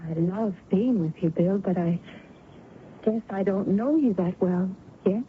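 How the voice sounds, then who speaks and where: A woman's voice: mid-range voice, measured pace, lightly acted. An actress playing a young woman in love in an old-time radio drama, earnest, hesitant and superstitious. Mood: tender, hesitant. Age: young adult. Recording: muffled, band-limited vintage radio recording, faint noise.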